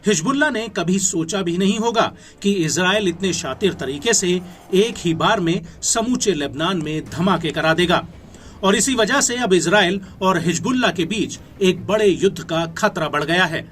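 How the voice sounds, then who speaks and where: High-pitched Indian male news narrator. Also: lively intonation, moderate pace, a bit bright, a little hiss or hum. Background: background music.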